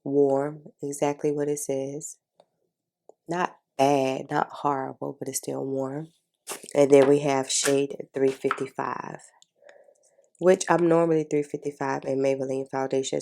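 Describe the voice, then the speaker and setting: A congested woman talks viewers through her makeup in a casual, chatty way. Her voice sits low and carries a gravelly fry, with a dark, mellow tone. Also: flat delivery, measured pace. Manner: chatty, informal, addresses viewers as y'all. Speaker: beauty vlogger. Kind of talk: casual makeup tutorial chat.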